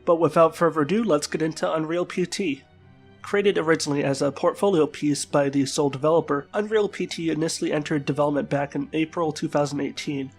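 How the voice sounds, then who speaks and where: A man with a congested, flu-struck voice reads a scripted video game review as the channel's host. His pitch sits a little high and his phrasing is formal and wordy. Faint music plays behind him. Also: darkish tone. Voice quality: stuffed-up, congested. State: sick with a flu-like bug.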